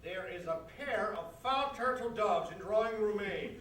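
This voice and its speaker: A brash, boozy press agent, played by a man in a stage comedy, blustering in a high, bright voice. He wisecracks and picks fights, heard from a distance in an echoey room. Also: lively intonation, normal speed. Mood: belligerent bluster. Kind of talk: theatrical stage comedy acting. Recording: echoey room from afar, noisy.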